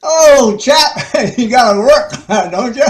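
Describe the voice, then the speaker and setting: Cocky boy, very high pitch, theatrical bellowing, exuberant, playful, roomy echoey video call. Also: expressive, slow pace, heavily acted. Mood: teasing. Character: cocky, playful boy imitating a ponderous steamboat. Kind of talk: virtual readers' theater performance.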